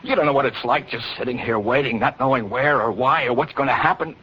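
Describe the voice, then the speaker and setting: An American man playing a frightened, plain-spoken young working man, urgent and halting, in 1940s radio drama. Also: medium pitch, bright, forceful tone, slightly rough. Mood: panicked. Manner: urgent, stammering. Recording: muffled, noisy vintage radio recording.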